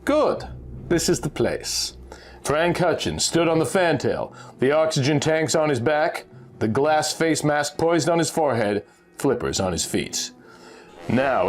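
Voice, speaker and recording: A man narrating a scripted adventure story in a theatrical, suspenseful storytelling manner. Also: mid-range voice. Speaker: narrator reading a pulp magazine story.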